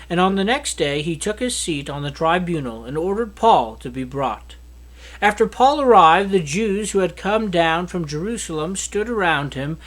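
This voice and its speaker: A man in his thirties reading scripture aloud in a solemn, declamatory way with deliberate phrasing. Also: a little high, a bit bright. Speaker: Bible reader. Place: small indoor study with bookshelf. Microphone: microphone on a stand at a lectern.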